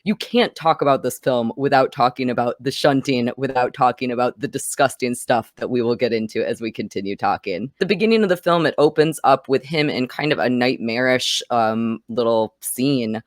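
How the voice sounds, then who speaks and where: A woman's voice: very deep voice, moderate pace, hardly any pauses, dry recording. Female film podcast host, very dry sound. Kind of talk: conversational film discussion.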